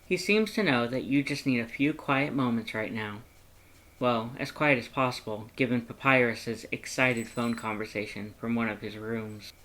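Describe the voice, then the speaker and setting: A man reading a story aloud as an audiobook narrator, in a measured, gentle manner. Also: mid-range voice, rough recording. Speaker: fan fiction audiobook narrator.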